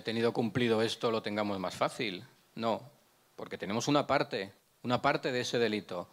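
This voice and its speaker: A man's voice: medium pitch, fairly bright tone. Man lecturing through a handheld mic. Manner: explanatory, asks and answers own questions, frequent restarts. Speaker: prosecutor giving a conference talk. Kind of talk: lecture with slides. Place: conference room, indoors. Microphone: handheld microphone.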